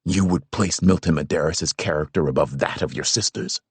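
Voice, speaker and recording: A man's voice: rumbling low, moderate pace, husky, dry recording, voice pushed down. A man reading aloud, voicing a stern, commanding older father who rules his family firmly.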